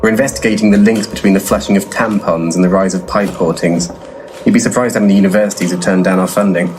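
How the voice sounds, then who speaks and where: A low-pitched man's voice over faint background noise. An actor plays a brash, foul-mouthed paranormal investigator out for money, delivering nonsense deadpan and giving bossy orders in a comic audio drama. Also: fast, natural voice. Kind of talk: full-cast comedy audio drama.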